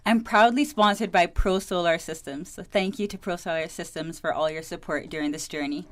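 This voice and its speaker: A woman's voice: lowish voice, a little fast. A woman in her twenties, a pageant contestant, giving a polished, formal self-introduction on radio. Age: 20s.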